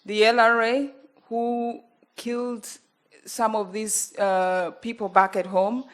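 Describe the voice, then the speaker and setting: A young woman with a Ugandan accent, speaking earnestly at a panel, pausing often with fillers as she gathers her words. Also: fairly high pitch, little pitch movement. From northern Uganda. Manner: earnest, halting, many fillers. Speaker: journalist panelist. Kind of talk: panel talk.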